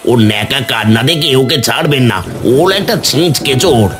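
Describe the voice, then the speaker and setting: A man playing a stingy, suspicious village fritter seller in a cartoon, gruff and scolding. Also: medium pitch, expressive, a little fast, lightly acted. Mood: indignant.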